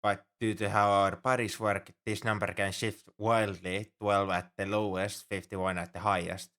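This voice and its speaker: A man's voice: lowish voice, flat delivery, moderate pace, a bit bright. A man playing an anxious, overprotective alien crewmate in an audio roleplay, speaking formally and earnestly in a fretful, easily flustered way. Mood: earnest, explaining. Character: anxious, paranoid male alien crewmate fussing over human. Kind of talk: ASMR roleplay audio drama.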